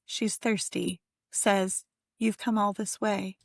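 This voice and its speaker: A woman's voice: mid-range voice, little pitch movement, takes it slowly, creaky, no echo, clean studio sound. A woman speaking as a guest poet and editor in a literary conversation. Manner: effusive, conversational. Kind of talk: casual literary conversation with poem reading.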